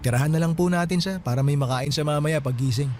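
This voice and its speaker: A man playing a polite, caring younger married man in a radio drama, asking gently, with a Filipino accent. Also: fairly high pitch, fast, faint music behind, close to an everyday voice. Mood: friendly.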